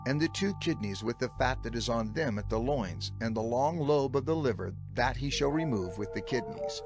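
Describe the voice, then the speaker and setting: A man narrating scripture for a dramatized audio production in a measured, solemn, declamatory manner. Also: medium pitch, expressive. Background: music.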